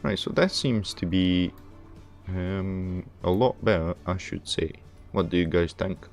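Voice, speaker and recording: A man from Romania hosting a casual gaming livestream, thinking aloud in a halting, hesitant way. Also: low-pitched, a little mellow, faint music behind. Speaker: livestream host playing a game.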